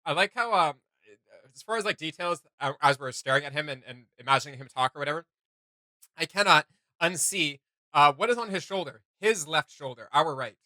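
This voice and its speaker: A man co-hosting a casual reaction video, animated and jokey. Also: fairly high pitch, fairly quick, dry recording, poor audio.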